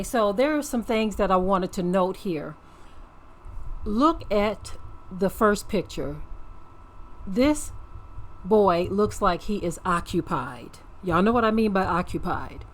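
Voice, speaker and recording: A woman's voice: mid-range voice, low-quality recording. A woman giving earnest, preachy off-the-cuff commentary as host of a religious channel, repeating phrases for emphasis and checking for agreement.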